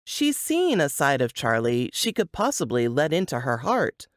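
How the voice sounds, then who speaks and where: A woman's voice: deep voice, big pitch swings, normal speed, no echo, clean studio sound. A woman reading scripted, dramatic book narration.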